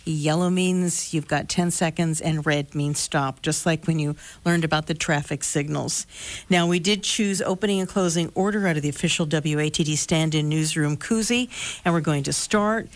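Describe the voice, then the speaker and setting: A woman moderates a radio debate, explaining the rules in a brisk, businesslike way. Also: deep voice.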